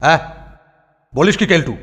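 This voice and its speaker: Blustering high-pitched schoolmaster. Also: male voice, expressive, moderate pace, fairly bright tone, breathy edge. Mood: stern. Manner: stern, blustering. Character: blustering, strict boarding school master, comically flustered. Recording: long hall reverb, faint music.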